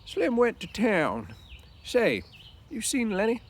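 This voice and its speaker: A man voicing a hesitant, embarrassed old ranch hand in a storybook, with a drawn-out, tentative delivery. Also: high voice, huge pitch swings, voice pushed up, full character voice. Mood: inquiring.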